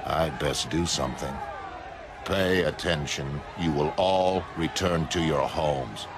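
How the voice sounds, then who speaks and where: Solemn superhuman, extremely deep voice. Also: male voice, a crowd in the background, background noise. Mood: detached, solemn. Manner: measured, solemn, detached. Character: detached, melancholy blue superhuman man narrating his life. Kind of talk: scripted first-person comic narration. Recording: music bed under the voice.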